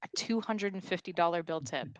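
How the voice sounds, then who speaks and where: A woman's voice: lowish voice, brisk, no room sound. A woman narrating the stage directions of a scripted comedy table read.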